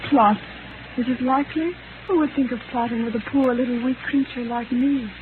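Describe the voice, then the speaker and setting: An actress playing a frail, timid young seamstress who is trying to be brave, plaintive and earnest, in a noisy 1930s radio drama. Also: female voice, fairly high pitch, steady tone, fairly quick, phone-quality sound, natural voice. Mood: timid, pleading.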